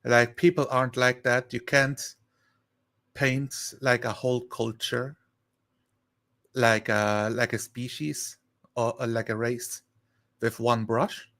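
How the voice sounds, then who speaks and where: A man chatting casually on a live stream, rambling and thinking aloud in a halting way. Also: medium pitch, little pitch movement, fairly bright tone.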